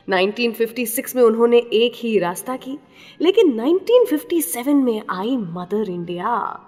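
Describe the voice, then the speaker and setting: A woman's voice: fairly high pitch, a little mellow. Woman radio host with long reverb. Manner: conversational, addresses the listener directly. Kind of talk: scripted radio storytelling and song introductions.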